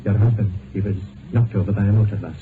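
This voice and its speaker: Noisy tinny old radio, actor as grave male doctor, lowish voice, solemn, sympathetic, hesitant. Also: fairly even intonation, quick pace, natural voice. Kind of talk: mid-20th-century radio drama acting.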